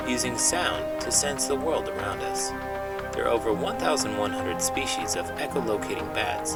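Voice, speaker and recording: A man reading a children's book aloud with expressive, dramatic narration. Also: fairly low pitch, recorded from a distance. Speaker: children's book reader, teacher.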